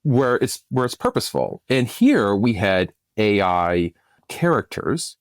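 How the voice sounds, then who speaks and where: A man with a lowish voice answers as a podcast guest. He is casual and enthusiastic, often circling back mid-thought, in a dry, close-sounding recording. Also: big pitch swings, a little slow, no echo.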